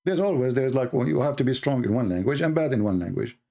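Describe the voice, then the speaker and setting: A man's voice: medium pitch, lively intonation, fast, vocal fry, dark tone. Mocking man with an Arabic accent. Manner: mocking, combative, broken English. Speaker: religious debate host. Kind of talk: heated polemical monologue.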